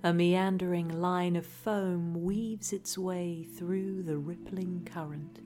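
A woman reading a scripted guided relaxation in a soothing, hushed, deadpan way. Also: on the low side, slow. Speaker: narrator, reader. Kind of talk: scripted guided relaxation narration, parody meditation. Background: music.

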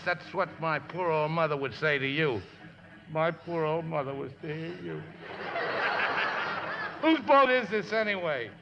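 An actor playing a rough, hard-drinking, uneducated riverboat skipper who blusters and whines in folksy, ungrammatical talk, in a 1950s radio drama. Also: male voice, fairly high pitch, bright, forceful tone, exaggerated voice. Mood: drunken outrage. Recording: noisy, dull 1950s radio recording.

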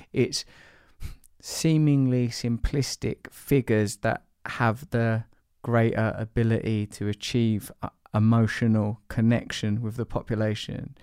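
A man interviewing a guest, asking questions in measured, formal phrasing while searching for words. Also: fairly low pitch, dark tone, clear, resonant tone, dry recording, studio quality.

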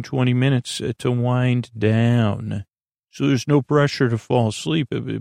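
A man with a creaky, gravelly voice, hosting a bedtime podcast with a meandering, halting ramble full of tangents. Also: medium pitch, a little mellow, no room sound, clean studio sound. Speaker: podcast host. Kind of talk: rambling bedtime podcast intro, casual monologue.

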